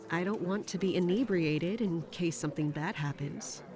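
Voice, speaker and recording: A woman's voice: lowish voice, moderate pace, dark tone, soft background music, lightly acted. An actress playing a serious, dutiful young royal bodyguard in an audio drama, formal and guarded in her speech. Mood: stern, guarded.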